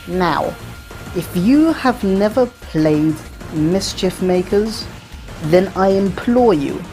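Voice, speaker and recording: A woman with a fairly low voice talks over faint background music. She delivers casual commentary on video games in a chatty, informal way, sharing opinions freely as the host. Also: darkish tone.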